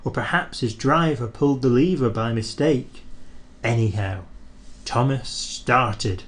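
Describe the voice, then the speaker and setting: A man reading a children's storybook aloud, expressive and measured. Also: mid-range voice.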